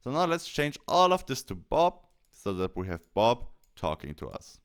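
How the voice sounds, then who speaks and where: A man with a smooth, clean voice at a middle pitch narrates a software tutorial. He explains each step plainly, in short instructional phrases, close to the microphone. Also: big pitch swings, normal speed. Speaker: tutorial presenter.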